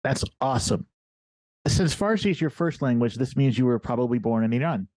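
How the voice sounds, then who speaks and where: Mellow-voiced male podcast host. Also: mid-range voice, animated, brisk, no room sound. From Ohio, USA. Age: 40s. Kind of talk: casual interview.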